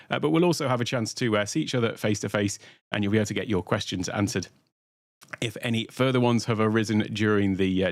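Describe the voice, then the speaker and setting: A middle-aged British man from the UK hosting a live online tech workshop from his home studio, talking in a relaxed, conversational way with lots of "uh" fillers. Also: mid-range voice, no room sound. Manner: relaxed, conversational, many "uh" fillers, greets chat viewers. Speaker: workshop host and tech presenter.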